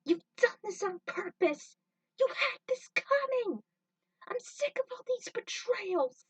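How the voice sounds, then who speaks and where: A furious young bounty hunter played by a woman reader, shrill and shouting with hurt. Her voice leaps high and loud in a dramatic story reading, heard a little away from the mic. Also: lively intonation, slow, dry recording, recorded from a distance, full character voice, rough recording. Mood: furious, anguished. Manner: shouting, shrill, anguished outburst. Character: angry, betrayed young female bounty hunter. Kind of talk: dramatic fan fiction reading.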